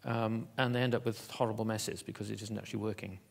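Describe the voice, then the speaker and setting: A man giving a conference keynote in an auditorium, talking in a halting way with many fillers and restarts. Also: lowish voice, level tone, gravelly. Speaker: keynote speaker.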